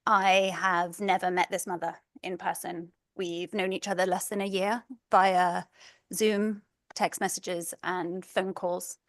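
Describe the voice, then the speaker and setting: A woman's voice: mid-range voice, no echo. Middle-aged english woman, earnest prepared talk, bit nervous, dry close sound. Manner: earnest, measured, a little nervous. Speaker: community speaker, parent advocate.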